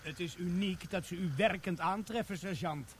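A sharp-tongued army corporal voiced by a man, pitched high, who gives orders in a dry, mocking way. He teases his superior without ever raising his voice. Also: normal speed, a little hiss or hum, natural voice. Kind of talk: radio drama acting.

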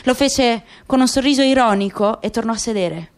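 A woman's voice: on the high side, normal speed. A woman giving a dramatic, scripted literary narration as an actress in a radio play.

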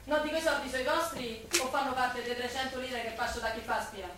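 A woman's voice: high voice, fairly even intonation, fast, close to an everyday voice. An actress playing a blunt, suspicious working-class woman with a Roman accent, in a theatrical stage style. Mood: sarcastic, accusing. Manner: blunt, direct. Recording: distant echoey stage recording with noise.

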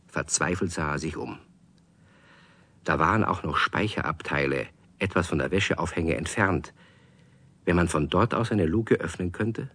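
A man narrating a children's radio play as a scripted storyteller. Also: sits low.

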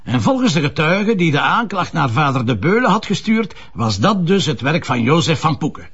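An actor playing a headstrong, defensive police commissioner in a crime drama, with a Flemish accent and a colloquial, insistent way of talking. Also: male voice, a little high, very animated, normal speed, fairly bright tone, slightly rough, lo-fi sound, heavily acted. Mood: matter-of-fact. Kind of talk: naturalistic TV crime drama dialogue.

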